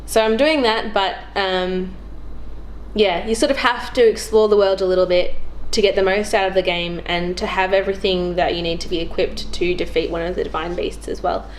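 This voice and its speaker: A woman's voice: medium pitch, fairly even intonation, normal speed. A young woman chatting casually about video games as a guest on a show. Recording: clearly noisy background.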